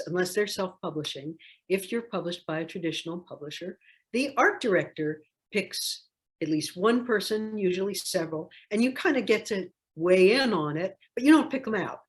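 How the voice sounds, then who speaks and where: An older woman, a children's author, talking personally in a reflective, conversational way and leaning on key words for emphasis. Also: on the low side. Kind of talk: personal talk introducing a read-aloud.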